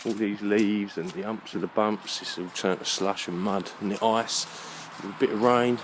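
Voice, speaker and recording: A man's voice: lowish voice, steady tone. Musing man, noisy outdoor recording. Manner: musing, halting, self-questioning. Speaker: vlogger. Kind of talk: rambling walk-and-talk monologue. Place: outdoors, walking along a muddy alleyway.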